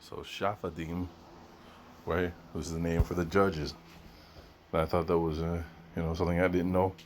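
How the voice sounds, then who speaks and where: Deep-voiced man musing casually. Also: little pitch movement, moderate pace, slight background noise. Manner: musing, conversational, with fillers and asides. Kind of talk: casual commentary on a read text.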